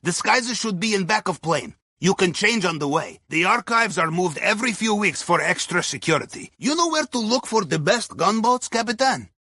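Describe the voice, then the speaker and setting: Wry, high-pitched Russian submariner. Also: male voice, animated, moderate pace, hardly any pauses, sharp tone, slightly rough, no echo, full character voice. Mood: wry, businesslike. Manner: wry, clipped phrasing with dropped articles and tag questions. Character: wry, cheerful Russian submarine captain's mate and heist planner. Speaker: mission guide. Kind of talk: video game voice acting, mission briefing.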